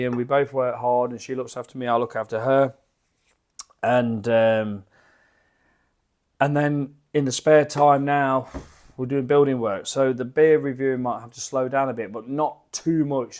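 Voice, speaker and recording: A man with a croaky voice from a lingering cough, rambling in a chatty, self-deprecating way as he reviews beer at his home bar into a desk microphone. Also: mid-range voice, darkish tone.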